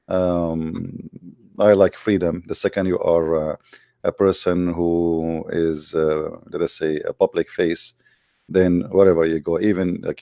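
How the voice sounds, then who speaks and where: Deep gravelly man with Arabic accent. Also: dark tone, dry recording, studio quality. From Middle East. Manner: halting, many fillers. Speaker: guest. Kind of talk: audio call interview.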